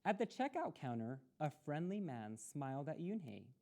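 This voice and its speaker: A woman storyteller reads to children. Her voice is mellow and low, and she takes her time, with lively, expressive intonation. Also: slight background noise. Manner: deliberate, with explanatory asides to children. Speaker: storytime reader.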